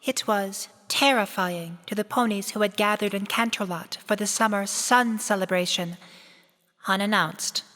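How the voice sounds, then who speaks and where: A woman's voice: medium pitch, fairly bright tone, close to an everyday voice. A woman acting a remorseful, lonely pony princess of the night in a fan radio drama, speaking in a solemn, formal, emotional way. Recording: long hall reverb.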